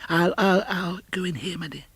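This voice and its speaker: A man acting an excitable old miser, high-pitched. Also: moderate pace, husky, no room sound, exaggerated voice. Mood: flustered, delighted. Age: older. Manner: stammering, emphatic, exclamatory. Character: elderly reformed miser, giddy, earnest, mock-stern, overjoyed. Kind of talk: dramatised audiobook reading.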